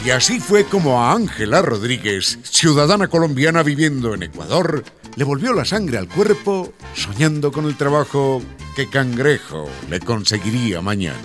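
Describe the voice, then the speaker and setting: A man's voice: medium pitch, very animated, moderate pace, fairly bright tone, faint music behind. A man as a wry storyteller, reading scripted narration for a radio soap. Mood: wry, storytelling.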